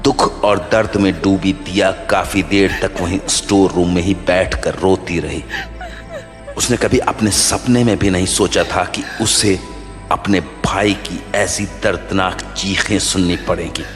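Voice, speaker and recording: A man's voice: sits low, steady tone, measured pace. A man giving dramatic scripted narration of a horror story. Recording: long reverb under prominent music.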